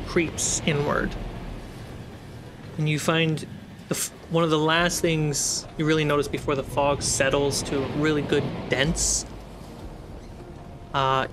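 A man narrating a tabletop fantasy game as game master in deliberate, halting phrases, with music behind him. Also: on the high side, noisy background.

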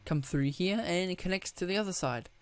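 A man with a croaky, just-woken-up voice, giving casual gameplay commentary, rambling with restarts and throat clearing. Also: high-pitched, fairly quick, slight background noise. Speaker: gaming YouTuber.

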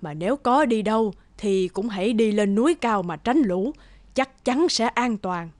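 An actress playing a humble old beggar woman in an animated folk tale, speaking slowly and haltingly. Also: medium pitch, no echo, natural voice. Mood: solemn. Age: older. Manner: slow, pleading, halting. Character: frail, humble old beggar woman, secretly wise spirit.

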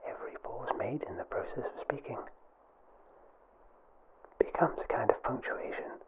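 A young man narrating a scripted ASMR information film in a soft, breathy whisper with a formal, deliberate, mock-official delivery. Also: mid-range voice, expressive, muffled recording. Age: young adult. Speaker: ASMR video creator, film presenter.

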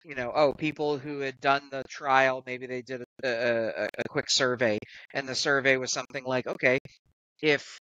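Man, casual podcast co-host, medium pitch, bright crisp tone, very dry sound, halting delivery. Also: no echo, poor audio. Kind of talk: casual tech podcast discussion. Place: remote call.

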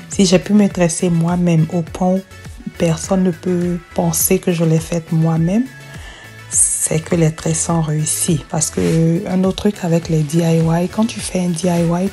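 A woman's voice: on the low side, mellow. A middle-aged woman from Africa telling a personal story as a voice-over for her own video, chatty, and often restarting her words. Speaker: hair braiding vlogger.

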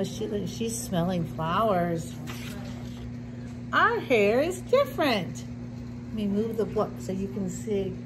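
A middle-aged woman reading a picture book aloud to young children, with deliberate simple phrasing and little asides. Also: on the high side, noisy background. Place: indoor classroom with sink and counter.

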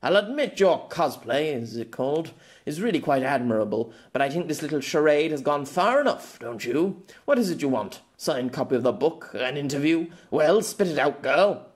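A man's voice: fairly high pitch, sing-song, moderate pace. A man playing an irritable, haughty middle-aged novelist in an audiobook, pompous and exasperated in manner. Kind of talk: audiobook character acting.

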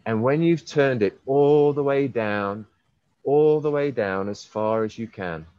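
A man leading a guided hypnosis session as a hypnotherapist, using repetitive, drawn-out and emphatic suggestive phrasing. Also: medium pitch, clear, resonant tone. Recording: roomy, slightly dull recording.

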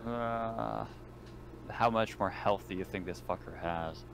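A man's voice: on the low side, slow, creaky, a bit bright, a little hiss or hum. A man playing a tabletop game, chatting casually in short, blunt, sweary remarks. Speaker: player.

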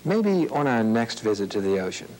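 A man's voice: mid-range voice, sing-song, moderate pace, darkish tone, low-quality recording. Male educational film narrator, faint hum. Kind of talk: scripted educational nature narration for children. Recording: hissy, humming old videotape soundtrack.

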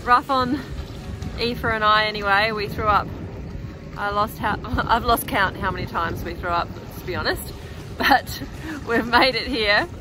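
Woman, matter-of-fact sailing vlogger. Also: medium pitch. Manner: plain, matter-of-fact storytelling with light laughs. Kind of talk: scripted voice-over narration and casual vlog talk. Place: outdoors on a beach. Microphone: camera held at arm's length.

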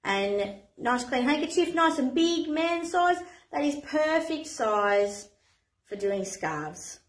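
A woman's voice: sits high, expressive, slow pace, a little hiss or hum. A woman, a playgroup facilitator chatting to camera in an encouraging way with frequent ums. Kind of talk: online playgroup lesson, talking to camera. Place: indoor play room. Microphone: camera at a few metres. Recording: echoey room.

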